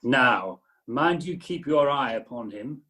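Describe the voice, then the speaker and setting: Pompous, pedantic gentleman doctor played by a man. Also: mid-range voice, expressive, takes it slowly. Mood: officious. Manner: pompous, emphatic. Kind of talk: amateur comic radio play acting. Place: home, recorded over a video call.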